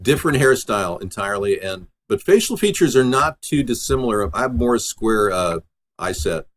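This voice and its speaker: A man with a lowish voice hosts a casual livestream. He talks in a loose, rambling way, as if answering people in a chat. His delivery is informal and conversational. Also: very animated, moderate pace, fairly bright tone. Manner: rambling, replies to viewers' messages. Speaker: livestream host and amateur musician.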